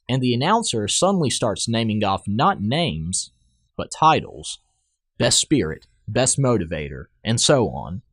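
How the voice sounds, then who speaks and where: A man's voice: lowish voice, no room sound, clean studio sound. A young man reading a scripted personal essay aloud in an earnest, rehearsed storytelling manner.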